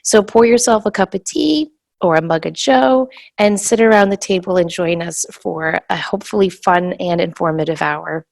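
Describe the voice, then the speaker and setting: A woman hosting a spiritual talk podcast, hoarse and scratchy from a cold, explaining things in a reflective, gentle way. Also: on the low side, normal speed. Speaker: podcast host.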